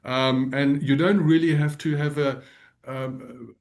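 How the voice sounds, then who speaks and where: A man whose first language is Afrikaans, speaking as an interview guest in a gentle, earnest way and laughing often. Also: medium pitch, steady tone, slow pace, vocal fry. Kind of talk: reflective interview talk.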